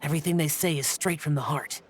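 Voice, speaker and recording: A man's voice: a little high, steady tone, faint music behind, close to an everyday voice. Man playing a solemn, aloof young immortal warrior. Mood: pensive, reserved. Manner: halting, brooding, formal. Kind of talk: video game voice acting.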